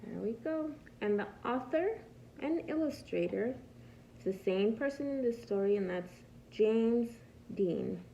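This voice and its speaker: Slow, creaky-voiced woman reading to preschoolers. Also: on the high side, lively intonation, dark tone, highs cut off, a little hiss or hum. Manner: patient, teacherly, pausing to ask simple questions. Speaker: preschool teacher reading aloud. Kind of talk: picture-book read-aloud for young children.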